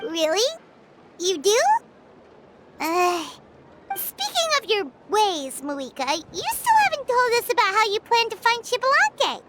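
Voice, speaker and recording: A woman voicing a small, excitable, cute fairy companion in a video game, with a squeaky childlike voice and a dramatic way of talking. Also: measured pace, very noisy, heavily acted. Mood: questioning. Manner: refers to self in third person, dramatic. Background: game music.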